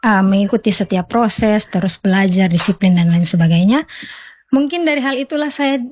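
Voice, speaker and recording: A woman's voice: mid-range voice, normal speed, a little mellow, dry recording. Polite young woman, dry close sound. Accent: eastern Indonesian. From West Sumba, Indonesia. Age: 20s. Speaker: school principal, interview guest. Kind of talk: radio interview answers. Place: radio studio.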